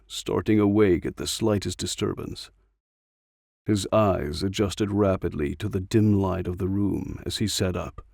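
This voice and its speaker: A man's voice: sits low, creaky, a little mellow, no room sound, clean studio sound. A man reading a story aloud as scripted audiobook narration.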